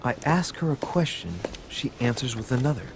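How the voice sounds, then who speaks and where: A young French diplomat in a game cutscene. He is polite, formal and self-assured, with a questioning manner and a middle-pitched voice. A clear rumbling ambience runs behind him. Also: big pitch swings, moderate pace, noisy background. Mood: insistent, probing. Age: young adult. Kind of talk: video game cutscene acting.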